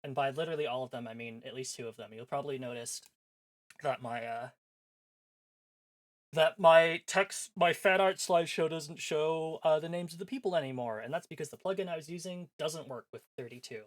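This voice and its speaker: A man's voice: a little high, fairly quick. A man chatting casually as a livestream host, sarcastic and self-deprecating, with some swearing. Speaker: live streamer hosting visual novel previews. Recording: voice over background music.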